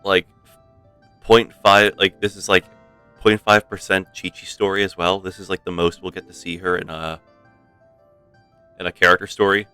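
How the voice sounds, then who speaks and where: A man's voice: low-pitched, level tone, normal speed, long pauses, pushed and bright, clean tone, dry recording, soft background music. A man giving casual live gameplay commentary as a game streamer, sometimes reading dialogue aloud.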